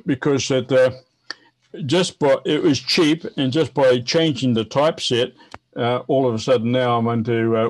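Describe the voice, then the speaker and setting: A man in his seventies with a New Zealand accent, chatting and explaining casually in a halting way with repeated words. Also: mid-range voice. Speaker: guest, veteran amateur radio operator. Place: home office, indoors.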